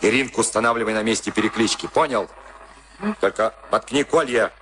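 An actor playing a cynical, streetwise prison camp convict in a Soviet radio play, commanding and sardonic in coarse street talk. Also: male voice, lowish voice, brisk, a bit bright, lightly acted. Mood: boastful, hard. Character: cynical, streetwise camp convict Tim, bullying boxing-match organiser.

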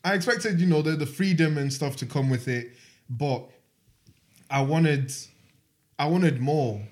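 A man in his twenties from Manchester, co-hosting a podcast in casual chat, telling animated stories full of slang. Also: fairly high pitch, measured pace. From Manchester, England. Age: 20s. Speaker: podcast co-host.

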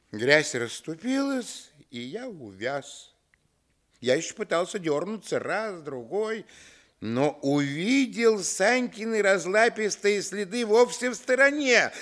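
An actor playing a grown man recalling his boyhood, narrating a radio play in a wry, expressive way. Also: high voice, exaggerated voice. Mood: dramatic.

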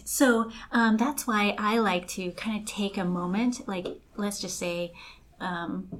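Chatty woman co-hosting a talk podcast. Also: medium pitch. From Los Angeles, raised by Colombian family. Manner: chatty, many fillers like "like" and "kinda". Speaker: life coach podcast co-host. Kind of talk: casual explanatory chat.

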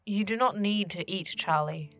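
A woman's voice: mid-range voice, normal speed, lightly acted. A woman playing a solemn, patient otherworldly guide who speaks stiffly and formally in a fantasy audio drama. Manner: stiffly formal, avoids contractions, deadpan.

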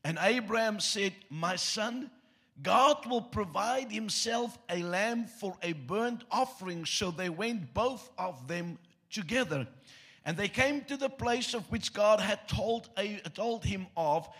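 A man's voice: high-pitched, animated, slow pace, a bit bright, slightly rough. A man from South Africa whose first language is Afrikaans, preaching a sermon with joking asides and reading scripture aloud. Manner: joking, self-deprecating asides.

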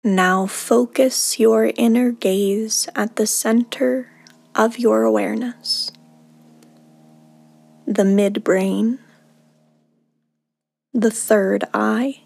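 A woman guiding a meditation in a soothing, unhurried manner, leaving long pauses between short phrases, with soft, breathy endings. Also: on the high side, steady tone, no echo. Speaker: meditation guide. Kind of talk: guided meditation narration.

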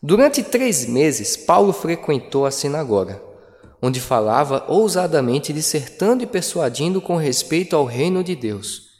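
A man preaching a sermon as pastor, with dramatic pauses and emphatic delivery. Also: fairly high pitch, big pitch swings, darkish tone. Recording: long hall reverb.

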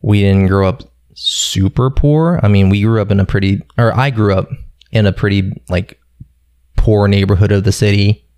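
A young man from Philadelphia talks casually about his childhood, rambling and swearing now and then. His voice sits low with a gravelly edge. The sound is dry and close. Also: steady tone, moderate pace, darkish tone, dry recording. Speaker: guest. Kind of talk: casual conversation.

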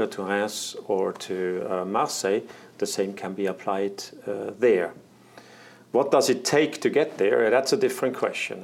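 Executive man being interviewed, lowish voice, hesitant, lots of filler sounds. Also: vocal fry, darkish tone. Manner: halting, many fillers and restarts. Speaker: air traffic management executive. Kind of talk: interview answers.

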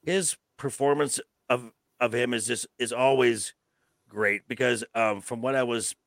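A man with a creaky, gravelly voice gives opinions in a casual podcast chat. He speaks emphatically but haltingly, often repeating words. The sound is dry and close. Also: medium pitch, fairly even intonation, fairly bright tone, no echo. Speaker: podcast co-host.

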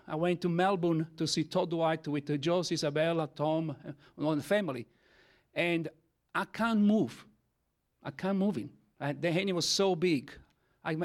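A man whose first language is Italian, speaking English with an Italian accent as he gives a church testimony in halting speech full of fillers. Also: fairly high pitch. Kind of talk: testimony sermon.

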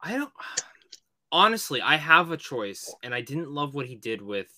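A man's voice: on the high side, sing-song, normal speed, bright, forceful tone, no echo, clean studio sound. A man co-hosting a podcast, chatting casually about films, emphatic, repeating himself for effect and swearing freely. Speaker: podcast co-host. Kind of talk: casual film discussion.

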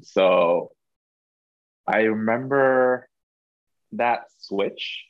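A young man from Hong Kong, a podcast guest, talking in a relaxed, thoughtful way with lots of fillers. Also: fairly low pitch, drawn-out words, no echo, highs cut off. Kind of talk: casual interview.

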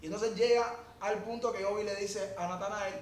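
A man's voice: high-pitched, brisk. A man co-hosting a podcast, explaining music industry feuds at length in casual chat. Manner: explains at length, animated when stressing points. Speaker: podcast co-host. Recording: roomy echo, distant from mic, faint noise.

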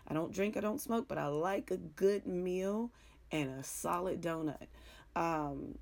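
Deep-voiced raspy woman, middle-aged sports talk host, hoarse, frank and rambling. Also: unhurried, darkish tone. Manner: frank, joking, rambling, with mild cursing. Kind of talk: casual sports talk monologue.

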